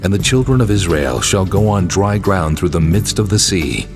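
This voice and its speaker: A man playing a commanding, solemn God in a dramatized audio Bible, declaiming in measured archaic speech. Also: low-pitched, expressive. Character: God of Israel, commanding, solemn, majestic male deity. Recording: music behind the voice.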